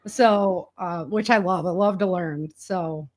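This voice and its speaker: A woman's voice: lowish voice, slow pace. A woman speaking as an enthusiastic, chatty interview guest, in a casual conversational style. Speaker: interview guest, indie author and podcaster.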